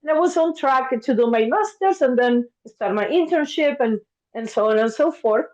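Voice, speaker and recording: Lively Argentine-born woman on a dull line. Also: normal speed. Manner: warm, animated, acts out her past thoughts in a higher voice. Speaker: podcast guest, therapist. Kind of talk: casual interview storytelling.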